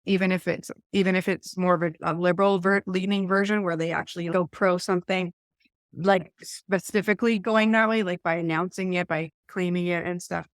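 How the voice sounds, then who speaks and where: Clear-voiced Eastern Ontario Canadian woman. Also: fairly low pitch, steady tone, fairly quick, dry recording, clean studio sound. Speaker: podcast co-host. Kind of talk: casual conversational discussion.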